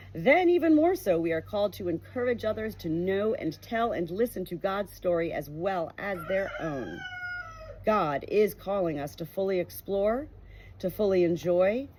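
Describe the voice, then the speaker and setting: A woman's voice: lowish voice, darkish tone, husky, rough recording. A woman reading a book aloud in an earnest, emphatic way, now and then breaking off into chatty personal asides. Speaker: audiobook reader.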